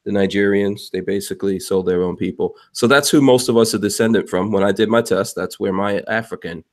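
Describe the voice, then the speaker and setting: A man's voice: on the low side, fairly quick. A man from Guyana co-hosting a podcast, joking and laughing often in casual chat. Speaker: podcast co-host.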